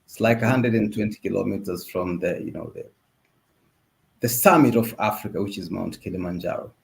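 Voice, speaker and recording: A middle-aged man from Tanzania, speaking as a podcast guest. His voice sits low, and he talks in a measured way, leaning often on filler phrases. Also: vocal fry, a little hiss or hum. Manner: measured, with frequent "you know" fillers. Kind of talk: introducing himself in conversation.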